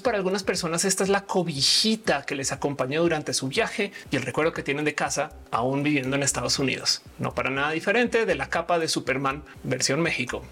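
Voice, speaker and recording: A woman's voice: sits low, fairly bright tone, husky. A woman born in Colombia hosting her own channel, explaining things in a chatty way and talking straight to her viewers. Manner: chatty, addresses viewers directly. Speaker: channel host. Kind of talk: informal explainer video.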